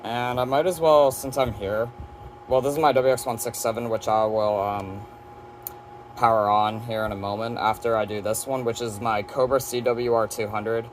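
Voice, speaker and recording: A man's voice: medium pitch, steady tone, moderate pace, slight background noise. A man giving a casual, rambling, offhand hands-on demo of his collection, presenting as a hobby collector. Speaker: weather radio collector presenting.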